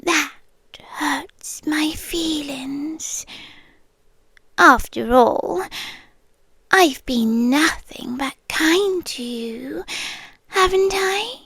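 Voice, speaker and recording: An ancient, possessive spider-woman girlfriend, played by a woman, speaks in a high British voice that keeps slipping into breathy whispers. She is very slow and deliberate, doting yet quietly menacing. The ASMR roleplay is recorded close and dry, intimate in the ear. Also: lively intonation, dry recording, exaggerated voice. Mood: sweetly possessive. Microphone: close dry microphone.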